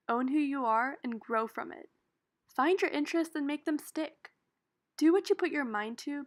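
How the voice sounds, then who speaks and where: A young American woman giving an earnest, prepared personal talk about her own story. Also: high voice. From United States. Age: young adult. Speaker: student speaker.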